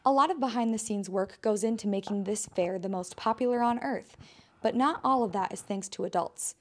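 A young adult woman, a student reporter, reading a scripted news narration with a bright, upbeat delivery. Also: medium pitch, a little fast.

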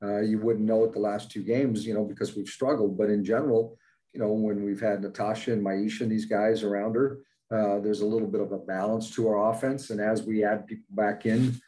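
A man's voice: on the low side, little pitch movement, fairly quick, warm tone, low-quality recording. An older man, a basketball head coach, giving plain-spoken postgame answers full of fillers over a video call. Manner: plain-spoken, many fillers, matter-of-fact. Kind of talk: postgame press conference answers. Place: indoors in front of a logo backdrop. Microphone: video call, webcam at desk.

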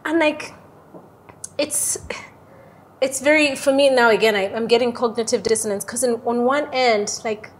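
A young woman from Uganda, talking casually as an interview guest in an animated storytelling way, often checking in with "right?". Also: fairly high pitch, moderate pace, pauses often. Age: young adult. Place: airy lounge on a sofa, indoors.

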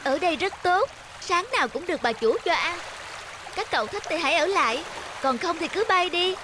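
A woman voicing a vain, lazy young swan in an animated fairy tale, exclamatory and childlike. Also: very high voice, a little fast, background noise. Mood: smug. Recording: loud music behind the voice.

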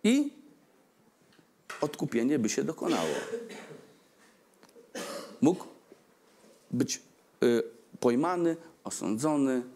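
A man in his forties giving a Christmas sermon at a lectern mic in a church hall, gesturing and putting questions to the congregation. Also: on the high side. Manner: animated, asks the congregation questions, gestures broadly. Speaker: preacher. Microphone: stand mic at lectern.

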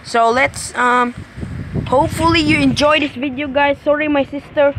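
A young gaming host with a high-pitched voice talks casually through gameplay. The delivery is halting and repeats itself. Loud rumbling noise covers the recording. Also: steady tone. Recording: very noisy, windy rumbling microphone.

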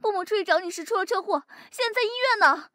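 A woman's voice: very high voice, quick pace, sharp tone, dry recording, studio quality. A woman playing an anxious young acquaintance bringing bad news, urgent and reproachful, in an audio drama. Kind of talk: Chinese audio drama acting.

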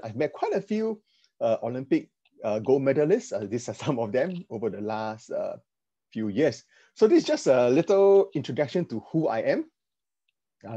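A middle-aged man with a Singaporean accent, informally sharing personal stories in a halting, conversational slide presentation. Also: medium pitch, dark tone, slightly rough, dull audio. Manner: conversational, halting, with "right" tags. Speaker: speaker sharing financial advice with a youth group.

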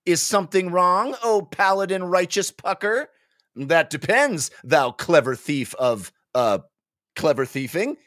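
A man voicing excitable nerdy male tabletop gamers, exclaiming and mock-heroic in a comic dramatic reading. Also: high-pitched, unhurried, no echo, clean studio sound, voice pushed up. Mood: eager, bumbling.

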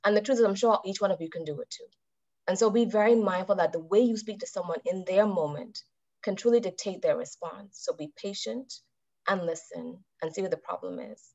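A woman in her thirties giving a calm, measured talk to a club over a video call and putting questions to her listeners. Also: medium pitch, gravelly. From Bahamas. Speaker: club speaker. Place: indoors with a virtual background. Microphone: webcam on a video call. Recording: dull video-call audio.

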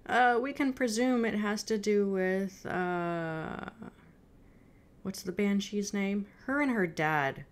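A woman's voice: medium pitch, slow pace. A woman in her thirties giving casual, off-the-cuff reaction commentary at home. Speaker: reaction video host. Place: indoor room at home.

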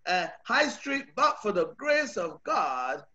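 Slow, high-voiced Bajan preacher. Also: male voice, very animated, sharp tone. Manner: earnest, repeats words while building points. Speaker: pastor. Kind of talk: sermon over video call. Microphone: video call. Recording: dull lo-fi video call audio.

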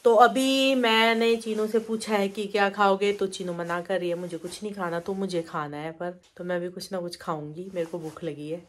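A woman's voice: mid-range voice, fairly even intonation, moderate pace, few pauses. A middle-aged woman chatting casually as a vlogger, recorded on a phone at arm's length. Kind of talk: casual vlog chat to camera and family. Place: small home rooms and inside a car.

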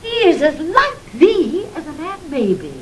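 A woman's voice: big pitch swings, unhurried, a crowd in the background. An actress playing a fiercely protective old duchess and mother in a Shakespearean audio drama, with a British accent and theatrical, emotional delivery. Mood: pleading. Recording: rumbly, noisy vintage 1960s drama recording.

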